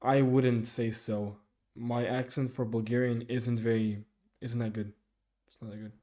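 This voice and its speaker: A young man talks with his live viewers, picking up their comments one by one. His voice sits at an ordinary pitch but sounds mellow and dark. He stops and restarts a lot, filling the gaps with "um" and "like.". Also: level tone. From Sweden. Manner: halting, many restarts and fillers. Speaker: streamer. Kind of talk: casual live chat reading viewer comments.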